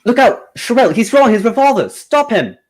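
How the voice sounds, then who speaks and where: A man playing a confident, methodical gentleman detective in an old-time radio drama, with a formal, theatrical delivery. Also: very high voice, big pitch swings, a little fast, background noise. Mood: alarmed.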